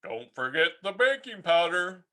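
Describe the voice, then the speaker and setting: A helpful father frog from a picture book, voiced by a man. He calls out instructions at a raised pitch, with lively swings in the voice. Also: measured pace, exaggerated voice. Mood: helpful, instructing. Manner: calling out instructions.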